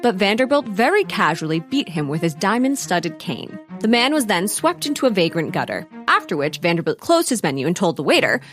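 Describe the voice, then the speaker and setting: An actress playing the wry narrator of a comic period audio drama, reading scripted narration. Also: female voice, mid-range voice, big pitch swings, fairly quick, few pauses, fairly bright tone, soft background music. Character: wry female storyteller of a comic period tale.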